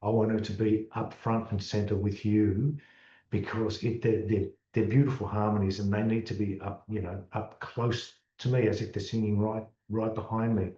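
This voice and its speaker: An Australian man with a low, mellow voice talks about his music in a relaxed way, often repeating words as he restarts a thought. He sits back from the mic, and the room sounds echoey and slightly muffled. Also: normal speed. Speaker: musician, interview guest. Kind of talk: casual interview chat. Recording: distant, dull, roomy sound.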